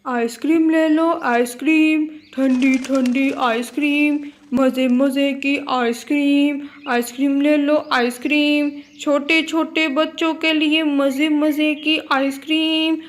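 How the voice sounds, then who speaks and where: A woman's voice: high-pitched, steady tone, slow, hardly any pauses, slight background noise. A woman voicing a cheerful male bird ice-cream vendor who calls out like a street hawker, in a children's cartoon. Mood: cheerful hawking. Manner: sing-out street hawking, friendly. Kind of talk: children's cartoon story acting.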